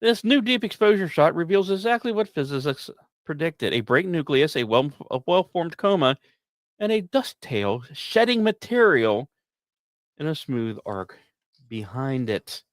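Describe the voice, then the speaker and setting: A man's voice: a little high, very animated, measured pace, dry recording. A man hosting a science podcast, delivering semi-scripted commentary with wry asides and the odd stumbled, repeated word.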